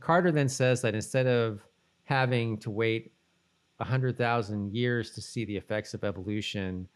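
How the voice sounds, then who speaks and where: A man co-hosting a podcast in casual chat, reading out bits of trivia and thinking aloud in a halting way. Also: lowish voice, clean tone. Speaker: podcast co-host.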